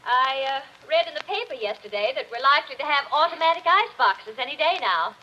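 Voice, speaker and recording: An actress playing a nervous, weary middle-aged wife who speaks in a brittle way in an old radio drama. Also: female voice, high voice, lively intonation, brisk, bright, forceful tone. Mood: strained. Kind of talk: 1940s radio acting. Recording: dull old radio recording with faint hiss.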